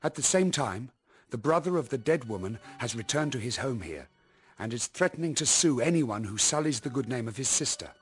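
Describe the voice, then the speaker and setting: Male reporter for radio play played by a man: medium pitch, formal, measured news delivery, faint music. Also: very animated, lightly acted.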